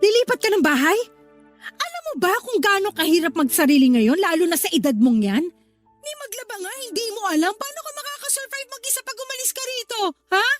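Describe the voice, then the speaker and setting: A woman's voice: extremely high pitch, big pitch swings, fairly quick, soft background music. A woman playing a hot-tempered, nagging older sister stressed from work in a radio drama. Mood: irritated, scolding. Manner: confrontational, nagging, interrogating. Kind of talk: Tagalog radio drama acting.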